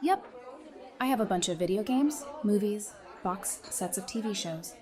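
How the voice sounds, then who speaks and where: A woman's voice: medium pitch, animated, normal speed, dark tone, some chatter behind, natural voice. A woman playing a friendly, easygoing survivor in an audio drama, chatty, informal and reassuring. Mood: upbeat casual. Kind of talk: fan audio drama acting, casual dialogue.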